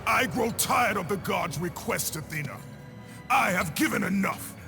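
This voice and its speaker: A gravelly, furious Spartan warrior. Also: male voice, sits high, animated, normal speed. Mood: defiant, bitter. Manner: defiant, commanding, intense. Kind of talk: dramatic video game acting. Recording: loud music behind the voice.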